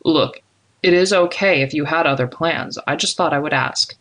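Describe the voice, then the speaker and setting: Woman voicing a low, friendly, disarming young man. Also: brisk. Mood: gentle, apologetic. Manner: calm, reassuring, casual. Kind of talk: audiobook character dialogue.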